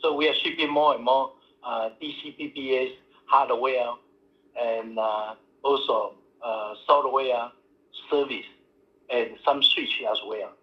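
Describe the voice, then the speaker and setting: Halting executive on a muffled line. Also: male voice, medium pitch, fairly even intonation. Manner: halting, many fillers, non-native phrasing. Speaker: company executive answering analyst questions. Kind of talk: earnings call answers. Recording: muffled phone-line audio.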